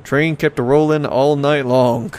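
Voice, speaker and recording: A man's voice: medium pitch, fairly even intonation, unhurried, darkish tone. A man hosting a let's play, casually commenting and reading aloud in a playful, rambling way as he reacts to the game.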